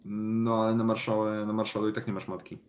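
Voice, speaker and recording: A man's voice: on the low side, flat delivery, fast, a little mellow. A man playing a tabletop role-playing game, talking through plans in character.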